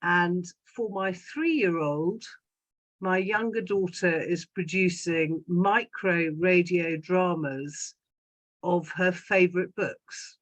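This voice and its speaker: An older woman speaking as a panellist, in deliberate, emphatic and measured phrases. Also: lowish voice, highs cut off. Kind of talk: thoughtful panel discussion.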